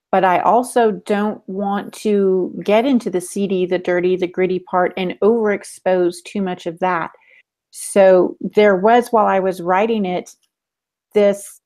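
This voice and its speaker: A woman who writes, co-hosting a casual podcast discussion. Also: fairly low pitch. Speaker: podcast co-host and author.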